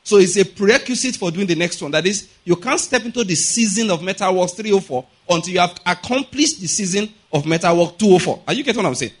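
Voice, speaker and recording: A man's voice: sits high, expressive, fast, few pauses, breathy edge. A middle-aged Nigerian man preaching a teaching sermon, explaining point by point with repetition and frequent rhetorical checks on understanding. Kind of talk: sermon, teaching with everyday examples.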